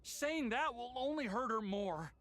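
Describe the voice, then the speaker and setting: A man's voice: expressive, a little slow, fairly bright tone, full character voice. A man playing an anxious, lovesick young knight in a video game, hesitant and agonizing. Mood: anguished. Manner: hesitant, agonizing, swinging in pitch. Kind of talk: animated video game acting.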